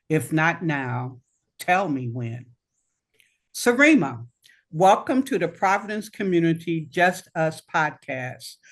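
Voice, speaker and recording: A woman from Indiana hosting a podcast interview, reading a scripted introduction in a measured, welcoming way. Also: deep voice. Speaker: podcast host.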